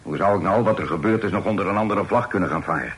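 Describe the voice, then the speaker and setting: A sly, low-voiced pirate. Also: male voice, fast talker. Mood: musing. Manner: calm, sly, full of sailor's sayings. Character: smooth-talking male pirate, bold and sly.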